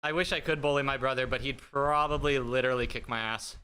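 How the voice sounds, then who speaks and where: A man hosting a live stream, chatting casually with viewers in a bright, higher-pitched voice, rambling and self-deprecating with lots of fillers. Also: fairly even intonation, fast, smooth. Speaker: livestream host. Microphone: desk streaming mic through an audio mixer.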